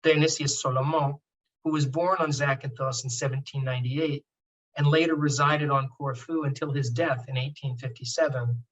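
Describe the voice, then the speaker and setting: A man reads a formal academic speech in a composed, steady way. His voice is of middle pitch, and it sounds a bit distant from the microphone. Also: moderate pace. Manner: formal, reading from a prepared text.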